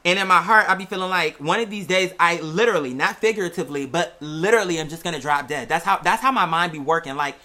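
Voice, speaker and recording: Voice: mid-range voice, quick pace, hardly any pauses, sharp tone. An American content creator chatting casually and candidly, rambling and repeating phrases for emphasis. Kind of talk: casual personal chat.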